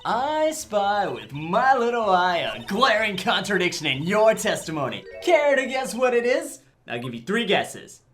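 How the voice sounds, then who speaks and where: A woman's voice: medium pitch, sing-song, measured pace, few pauses. A woman voicing a wisecracking, nervous young male defense lawyer in a video game fan dub, flippant, with music behind. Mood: teasing, confident. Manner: joking, flippant. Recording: faint background music behind voice.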